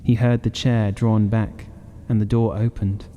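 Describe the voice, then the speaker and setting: A man's voice: lowish voice, level tone, unhurried, warm tone, a little hiss or hum. A man narrating a horror audiobook in a dramatic, scripted reading style.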